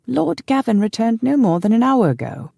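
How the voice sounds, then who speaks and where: A devoted old manservant in a historical storybook, acted out by a woman. His voice sits very high and creaks a little, and he talks deferentially, in a chatty, flustered way. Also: big pitch swings, normal speed, dark tone, no room sound, studio quality, heavily acted. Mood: glad, respectful.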